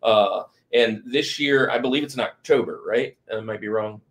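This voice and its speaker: A man's voice: lowish voice, moderate pace, a bit bright. A man hosting a podcast in an enthusiastic, casual way, often repeating his phrases for emphasis. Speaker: podcast host.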